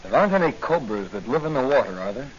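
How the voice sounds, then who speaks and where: An actor playing a dutiful police officer hero in earnest, decisive 1940s radio style. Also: male voice, mid-range voice, big pitch swings, quick pace, lightly acted. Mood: thoughtful. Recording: dull, noisy 1940s radio recording.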